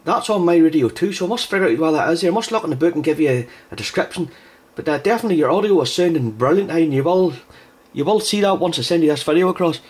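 A man's voice: a little high, lively intonation, fast talker, darkish tone. A man operating an amateur radio, chatting casually with another operator over a two-way link.

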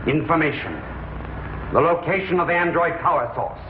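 A man's voice: fairly high pitch, lively intonation, normal speed, close to an everyday voice. A man playing a scheming, taunting outlaw villain in a 1950s television space opera, with theatrical delivery. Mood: menacing, taunting. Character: scheming male outlaw villain, menacing and smooth-tongued. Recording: muffled, noisy, echoey 1950s TV soundtrack.

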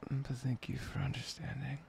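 A man performing an intimate boyfriend ASMR roleplay, murmuring softly and tenderly, breathy and often dropping to a whisper. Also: mid-range voice, little pitch movement. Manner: soft, tender, murmuring with long pauses.

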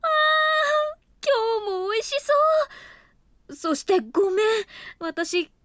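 A woman's voice: extremely high pitch, very animated, takes it slowly, pushed and bright, no room sound, studio quality, exaggerated voice. An actress playing a tired young office worker who keeps apologizing and narrates her own thoughts. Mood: apologetic. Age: young adult. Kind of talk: studio audio drama. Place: dry studio.

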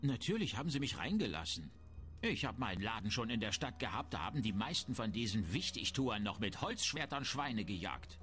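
A man's voice: lowish voice, sing-song, fairly quick, fairly bright tone. A man playing a shrewd, grumbling, self-important town merchant in a fantasy video game. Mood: indignant grumbling. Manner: haggling, disdainful, self-important. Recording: music behind the voice.

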